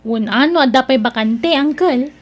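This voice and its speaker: A proud young woman in an audio drama, with a high voice and an Ilocano Filipino accent. She sounds whiny and haughty, a reluctant worker who thinks herself above others. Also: normal speed. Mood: hesitant, pleading. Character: proud young niece Mira, reluctant new restaurant dishwasher, complaining daughter.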